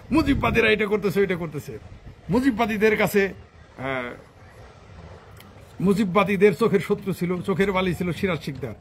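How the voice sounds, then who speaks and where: A man's voice: high voice, expressive, normal speed, gravelly, long pauses, a little hiss or hum. A man with a Bangladeshi Bengali accent delivers an informal political rant, combative and scornful, swearing freely and firing off rhetorical questions. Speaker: political commentator.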